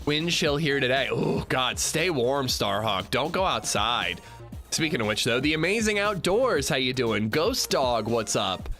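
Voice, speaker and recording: A chatty male streamer in his thirties, a little congested. His voice is bright and forceful, and soft music plays in the background. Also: very animated, a little slow. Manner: chatty, swings from upbeat shout-outs to low mumbling. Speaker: livestreamer. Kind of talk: casual livestream chat greeting viewers.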